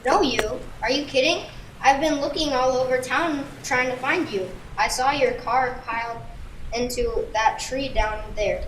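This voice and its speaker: A woman playing a brisk, blunt small-town police officer in an amateur radio play. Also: sits high. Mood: concerned. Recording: echoey, distant mic, faint noise.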